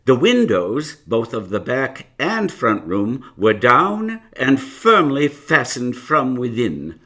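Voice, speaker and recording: An actor in a live radio drama playing a measured, theatrical investigator laying out a case. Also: male voice, mid-range voice, wildly swooping pitch, bright, forceful tone, full character voice. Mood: intrigued, measured. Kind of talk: live radio drama acting, narrated testimony.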